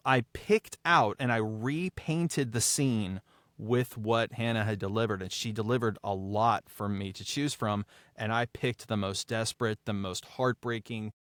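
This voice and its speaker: A man speaking as a podcast guest, an audio drama writer and actor reflecting on his work with emphatic, dramatic phrasing and deliberate pauses. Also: on the low side, no room sound, clean studio sound. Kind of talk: reflective behind-the-scenes discussion.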